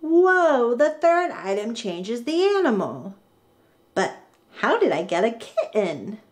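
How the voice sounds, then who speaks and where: A woman's voice: on the high side, very animated, slow pace. A woman voicing an eager, determined young girl inventor in a storybook, exclaiming and thinking aloud. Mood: curious, excited.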